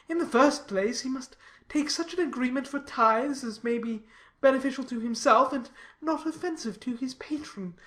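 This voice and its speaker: A woman's voice: a little high, lightly acted. A woman voicing a pompous, obsequious clergyman who speaks in formal, wordy sentences for a dramatized audiobook. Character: pompous, obsequious clergyman holding forth at length.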